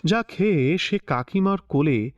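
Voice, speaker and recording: A man narrating a classic story in a measured, expressive literary style. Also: a little high. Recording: slightly dull lo-fi recording with soft music.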